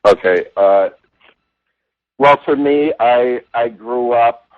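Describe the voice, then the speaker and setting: A man's voice: mid-range voice, takes it slowly, no room sound. A man born in Jamaica, a religious science practitioner and interview guest, thoughtfully telling his spiritual journey with frequent restarts and "you know" fillers. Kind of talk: reflective personal storytelling. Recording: tinny phone-like line, dry.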